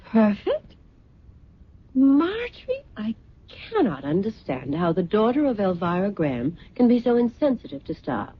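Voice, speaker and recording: A woman's voice: medium pitch, huge pitch swings, normal speed, slightly rough. Vain, imperious mother, swooping delivery. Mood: scornful. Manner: grand, theatrical, condescending. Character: vain, imperious middle-aged fashion designer mother. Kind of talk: old-time radio drama acting. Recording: muffled vintage radio recording.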